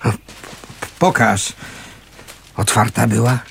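An actor playing a gruff but kindly homeless man in a radio play, with a gravelly voice and a colloquial, fatherly way of talking. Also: lowish voice, flat delivery, takes it slowly, fairly bright tone, heavily acted. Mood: gruff, practical. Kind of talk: naturalistic Polish radio play acting.

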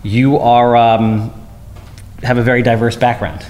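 A man's voice: mid-range voice, level tone, unhurried, darkish tone, big-room sound, a little hiss or hum. A man hosting a podcast, chatting casually and upbeat, heaping playful compliments on his guest. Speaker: podcast host. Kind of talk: casual interview chat.

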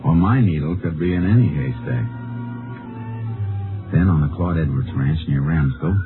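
Terse folksy american texas ranger, real deep voice, 1950s radio show, tinny old recording. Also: male voice, animated, normal speed, over music. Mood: dry, matter-of-fact. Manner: folksy, clipped, understated. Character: laconic, determined male Texas Ranger investigator, also narrating. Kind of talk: 1950s radio drama acting and narration. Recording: muffled old radio recording, narrow band.

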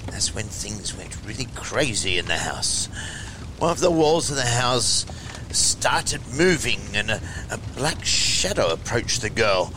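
A man hosting a horror show, reading tales and listener letters in a theatrical, deliberate way. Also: sing-song, sharp tone, rough recording. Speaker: host and reader of a horror anthology show. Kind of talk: expressive storytelling and letter reading. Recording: low rumbling noise behind the voice.

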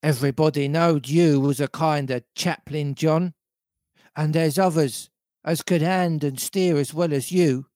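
A man plays a grumbling storybook pirate coxswain. He is defiant and growls in rough, uneducated sailor dialect. The pitch is raised and the delivery slow. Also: steady tone, a little mellow, no echo, studio quality, lightly acted.

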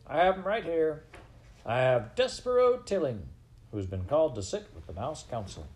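A man voicing a bossy, impatient young male mouse in a storybook, loud, urgent and scolding. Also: fairly low pitch, sing-song, brisk. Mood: loud, self-important.

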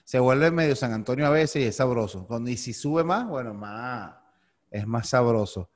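A man's voice: medium pitch, normal speed. A male host, interviewing in an informal, slangy, friendly way. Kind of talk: casual interview. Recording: long reverb.

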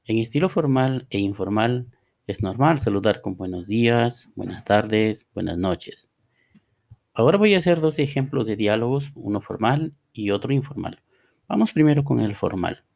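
A man teaching a Spanish lesson on a podcast, reading example phrases slowly and deliberately. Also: on the low side, expressive, creaky, a little mellow. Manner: slow, deliberate, reads model dialogues aloud. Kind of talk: scripted language lesson podcast.

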